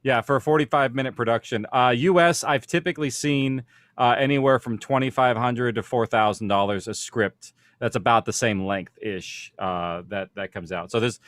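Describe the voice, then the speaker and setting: A man's voice: mid-range voice, moderate pace, no echo. Man, dry close sound, informal. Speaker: audio fiction panelist. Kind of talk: informal explanatory panel talk.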